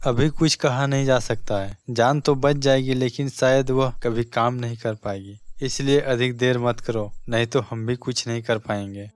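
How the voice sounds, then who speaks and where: A man's voice: mid-range voice, steady tone, moderate pace, few pauses, no echo, natural voice. A man voicing a formal, matter-of-fact doctor in a cartoon story. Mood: firm, grave. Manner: firm, formal.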